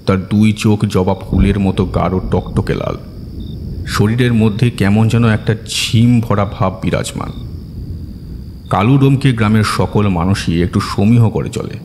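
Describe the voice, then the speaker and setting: Deep-voiced man narrating horror, in reverb. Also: measured pace, darkish tone, slight background noise. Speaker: story narrator. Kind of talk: dramatic scripted horror narration. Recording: long reverb, music bed behind.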